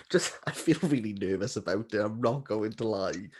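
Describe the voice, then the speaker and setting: A man co-hosting a casual book chat, self-deprecating and quick to laugh. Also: lowish voice, husky. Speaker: booktuber co-host.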